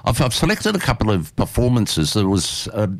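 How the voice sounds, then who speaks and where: Chatty radio host, lively pitch. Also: male voice, normal speed, dry recording. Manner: chatty, repeats words, animated. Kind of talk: casual radio interview. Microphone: studio mic.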